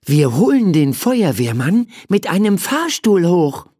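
A man's voice: high voice, animated, slow, darkish tone, no echo, clean studio sound, pitched above the performer's own voice, heavily acted. A man voicing a curious, confident young child in a children's storybook.

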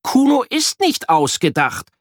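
A shy, sulky little boy from a children's radio story, as a man puts him on. He mumbles and grumbles in a high voice with a wide, lively lilt, recorded dry and close. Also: measured pace, no room sound, full character voice. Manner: mumbling, grumpy.

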